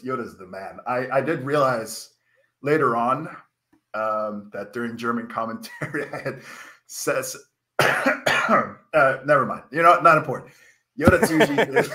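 Man, 30s, wrestling co-commentator, bright tense voice, a little sick and coughing, excitable, rambling, headset. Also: mid-range voice, unhurried. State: a bit under the weather, coughing. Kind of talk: casual live-stream commentary chat.

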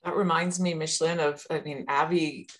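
A woman's voice: sits low, steady tone, normal speed. A woman presenter telling a casual anecdote during a group discussion on a video call. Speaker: presenter, educational technology consultant.

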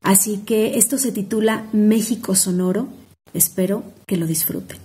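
A young woman with a Mexican accent recites poetry, savoring each word with a rhythmic, expressive delivery. Her voice is mellow and warm, close to a phone at home. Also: medium pitch, moderate pace. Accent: Mexican Spanish. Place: home room indoors.

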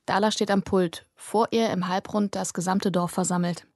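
A woman's voice: mid-range voice, steady tone, moderate pace, no echo, clean studio sound. A woman reading a scripted story as an audiobook narrator. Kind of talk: scripted fiction narration, present tense.

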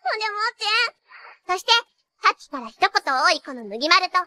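A woman's voice: very high-pitched, big pitch swings, a little fast, sharp tone, exaggerated voice. A woman playing a perky, cheeky teenage idol magical nurse in an anime, with cutesy catlike tics. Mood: bubbly, excited. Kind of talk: anime character acting and excited narration.

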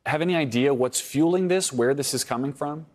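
A man's voice: on the high side, a little fast. A man anchoring live television news, putting questions to a guest.

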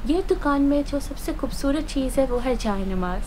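A woman's voice: high voice, animated, normal speed, a little mellow. Enthusiastic Pakistani woman vlogging outdoors. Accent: Pakistani Urdu. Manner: enthusiastic, mixes in English phrases. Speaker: travel vlogger. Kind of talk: casual travel vlog chat. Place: outdoor shopping plaza and shops. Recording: noisy outdoor ambience.

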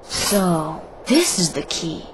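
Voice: mid-range voice, very animated, slow pace, a little hiss or hum, rough recording. An actor voicing a plucky, impulsive teenage boy hero in a boyish way. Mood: puzzled.

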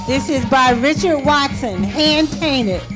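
Woman, runway announcer, high voice, slow, sing-song, handheld mic, music behind. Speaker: fashion show announcer. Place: restaurant bar with an audience. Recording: music playing loudly behind.

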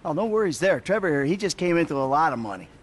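A man's voice: a little high, sing-song, fast talker. A man playing a bitter, sarcastic, middle-aged retired criminal in a video game, exasperated and foul-mouthed. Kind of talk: video game cutscene acting.

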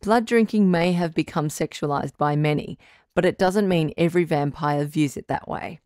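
A woman playing a calm, reassuring doctor who hosts a radio relationship advice show, speaking in a measured, counselling way. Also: low voice, dry recording, clean studio sound, close to an everyday voice. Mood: gently advising. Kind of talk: audio drama radio call-in acting.

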